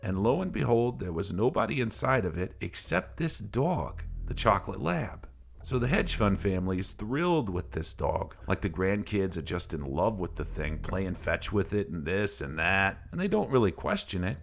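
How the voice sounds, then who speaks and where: A man narrating a creepy first-person tale like a salty, colloquial barroom yarn, dramatic and gravelly, with swearing. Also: deep voice, sing-song, moderate pace, slight background noise. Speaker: horror story narrator. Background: faint ambient background music.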